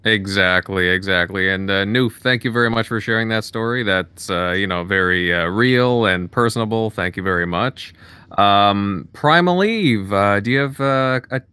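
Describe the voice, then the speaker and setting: A man hosting a podcast panel in a polite, slightly formal manner. His voice is low, bright and smooth, and it sounds dry and close. He pauses hesitantly between phrases. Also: dry recording. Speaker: podcast host.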